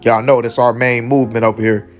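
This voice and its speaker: A man hosting casual commentary, hoarse and losing his voice, speaking earnestly and often tagging lines with "man.". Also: medium pitch, flat delivery, quick pace, faint music behind. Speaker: host of a commentary channel.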